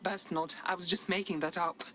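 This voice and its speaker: A woman's voice: lowish voice, steady tone, a little fast, fairly bright tone, a little hiss or hum, lightly acted. An actress playing a polite, sly, understated woman in a video game, dry and tentative. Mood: polite, playful.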